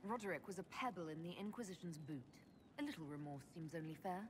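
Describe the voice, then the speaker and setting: A woman playing a composed, level-headed leader in a fantasy video game, speaking in a measured, direct way. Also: fairly low pitch, animated, noisy background, close to an everyday voice. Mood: frank, calm. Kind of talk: dramatic video game voice acting.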